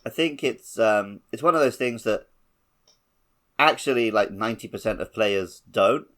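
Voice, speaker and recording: Guy on a games podcast, fairly low voice, just chatting and explaining stuff. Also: moderate pace, a bit bright. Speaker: podcast co-host. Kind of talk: casual chat about video games.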